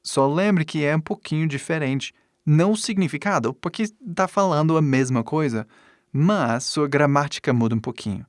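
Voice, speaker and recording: A man with an English speaker's foreign accent, a native English speaker, teaching in a deliberate way with pauses between short phrases and example sentences. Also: fairly high pitch, dry recording, studio quality. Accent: English-speaking foreigner's accent in Portuguese. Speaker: English teacher giving an online lesson. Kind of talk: instructional lesson with example sentences.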